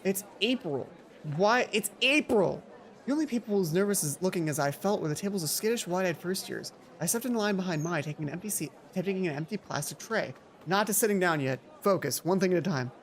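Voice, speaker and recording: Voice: medium pitch, animated, brisk. A let's play host reading a visual novel aloud theatrically, with casual, playful asides. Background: music.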